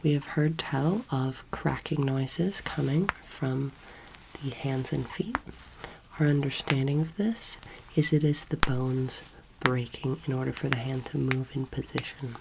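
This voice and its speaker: Soft-spoken young woman, very even pitch. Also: background noise. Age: young adult. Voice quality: soft, breathy, often near whisper. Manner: slow, gentle, deliberate instruction. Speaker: ASMR roleplayer as alien anatomy teacher. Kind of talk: soft-spoken ASMR roleplay lecture.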